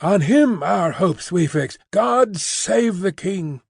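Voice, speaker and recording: A man's voice: sits high, lively intonation, slow pace, vocal fry, husky, no echo, studio quality, voice pushed up, heavily acted. A man voicing a fussy, fiercely loyal Englishwoman housekeeper who talks emphatically and primly. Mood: emphatic. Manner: emphatic, bustling, prim.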